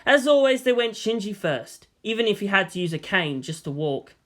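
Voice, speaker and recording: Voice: mid-range voice, very animated, moderate pace, a bit bright. A narrator giving a dramatic scripted reading of a story.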